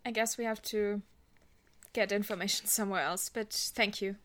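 Woman, tabletop player, polite, tentative, mid pitch. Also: steady tone, normal speed, fairly bright tone. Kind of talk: casual tabletop roleplay chat.

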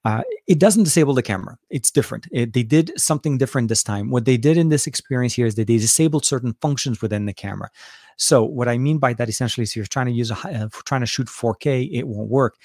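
A man's voice: medium pitch, fast, darkish tone, no echo, studio quality. A man from Lebanon with a Lebanese accent, hosting a casual live question-and-answer stream about phones and cameras, talking loosely with many fillers and restarts. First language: Arabic. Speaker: tech channel host answering live chat.

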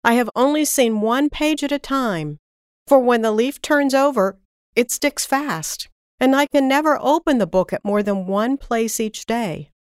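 A woman's voice: fairly high pitch, animated, measured pace, clear, resonant tone, dry recording, studio quality, voice pushed up. A woman voicing an earnest, pleading little boy in a storybook tale. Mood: wistful.

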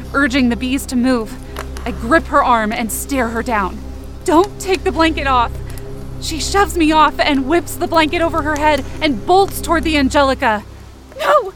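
A high, girlish voice acted by a woman tells a horror audio drama in the first person, frightened and breathy. The delivery is tense and emotional, with music clearly playing behind it. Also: fairly bright tone.

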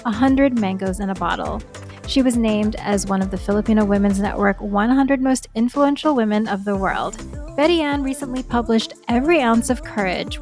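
A woman's voice: medium pitch, darkish tone. A woman hosting a food podcast, reading an introduction and then chatting eagerly with her guest. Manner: upbeat and eager. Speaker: podcast host. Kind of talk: scripted introduction and casual interview.

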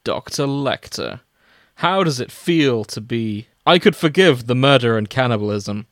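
A man's voice: medium pitch, animated, a little slow, fairly bright tone, no echo, clean studio sound. A man reading the part of a smug, chipper man from a fan fiction, teasing with mock-earnest requests.

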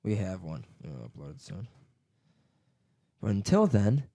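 Young dude on a podcast, kinda low voice, super animated, cracks up a lot. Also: male voice, unhurried. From United States. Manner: laughing, swearing, doing silly accent impressions. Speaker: podcast co-host. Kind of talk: casual chat.

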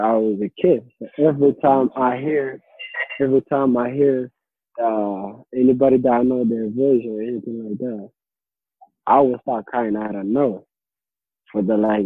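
A young man talks casually in an interview, often restarting and repeating words as he looks for them. His voice is husky and comes through a thin, phone-like line. The sound is dry and close. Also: medium pitch, no echo. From Somalia. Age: late teens. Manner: halting, repeats words while searching for them. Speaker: interviewee, high school student.

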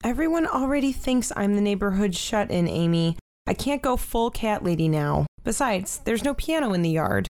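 An actress playing a shy, timid teenage sister with a mild, hesitant manner in a modern audio drama. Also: female voice, mid-range voice, big pitch swings, normal speed, darkish tone, no room sound, studio quality. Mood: mildly frustrated.

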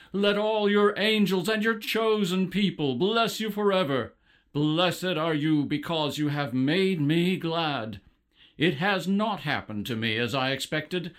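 An actor playing an excitable, devout father in a religious audio drama. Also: sits high, full character voice.

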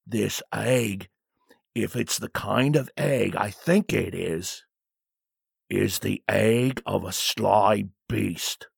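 A man's voice: mid-range voice, expressive, slow, gravelly, fairly bright tone, slightly rough, no room sound, studio quality, full character voice. A man voicing a burly, deferential armored bodyguard in a storybook, speaking in a grave, formal, warning manner. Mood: ominous, wary.

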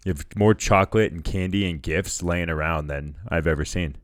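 A man's voice: sits low, normal speed, no echo, clean studio sound. A man co-hosting a podcast in an easygoing way, laughing often and answering briefly. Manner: easygoing, laughs often, short agreeing replies. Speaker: podcast co-host. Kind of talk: casual podcast chat.

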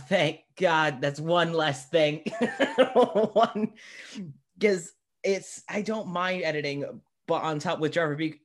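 A man's voice: on the high side, fairly bright tone. A man hosting a podcast, chatting casually with a wry, self-deprecating manner. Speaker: podcast host. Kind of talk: casual interview chat.

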